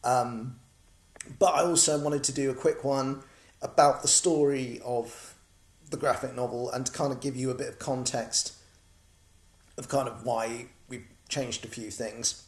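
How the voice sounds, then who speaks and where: A man's voice: mid-range voice, fairly even intonation, a little slow, roomy sound. An English man from Norwich giving a casual, rambling update to backers of his comic project, with many ums and restarts. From Norwich, England.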